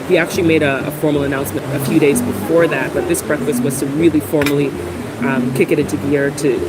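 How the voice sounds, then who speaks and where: A woman talking casually to camera as a vlogger. She has a cold and fills her speech with ums and restarts. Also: low voice. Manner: chatty, many ums and restarts. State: has a cold, occasional cough. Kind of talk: casual vlog talk to camera. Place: Ghana, with outdoor and traffic noise. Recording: loud background noise.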